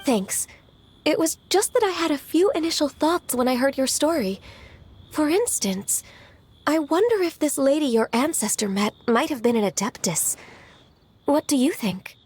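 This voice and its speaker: An actress voicing a diligent, soft-spoken young secretary in a video game, gentle, formal and a little shy. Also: female voice, sits high, big pitch swings, normal speed. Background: game music.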